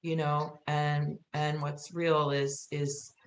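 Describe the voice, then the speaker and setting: A woman's voice: low-pitched, flat delivery, slow pace. A woman podcast guest chatting casually and reflectively, earnest and circling back over phrases for emphasis. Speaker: podcast guest, theatre creator. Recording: roomy echo with faint hiss.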